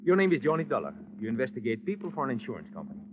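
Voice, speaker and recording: Cheeky, fast-talking driver. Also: male voice, mid-range voice, wildly swooping pitch. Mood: teasing, knowing. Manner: chatty, playful, broken English. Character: cheeky boastful Brazilian driver, self-styled poet philosopher. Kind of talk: 1950s radio acting. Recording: muffled noisy old radio, vehicle hum.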